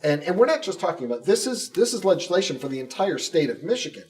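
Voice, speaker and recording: A man's voice: fairly high pitch, big pitch swings, fast, distant mic. A man co-hosting a conversational interview show and putting questions to guests. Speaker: podcast co-host, school communications director.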